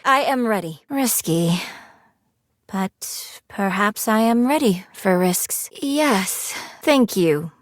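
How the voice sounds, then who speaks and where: A prim and reserved female video game character, with a mid-pitched voice in a dry, close recording. She chooses formal, precise words like a careful accountant, with an anxious streak under the businesslike poise. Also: animated, slow pace, no room sound. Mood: nervous, tender. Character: prim, anxious, money-minded female personified savings living in a safe.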